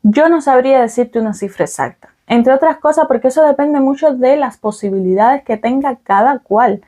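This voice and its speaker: A woman's voice: fairly high pitch, warm tone. A young adult woman from Cuba with a Cuban Spanish accent, talking casually to camera in a vlog, explaining with fillers and "ok?" check-ins. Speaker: vlogger.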